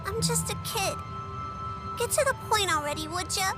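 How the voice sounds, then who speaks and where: An actress playing a small, earnest, blunt child in a video game. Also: female voice, very high-pitched, lively intonation, moderate pace, over loud music. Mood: impatient.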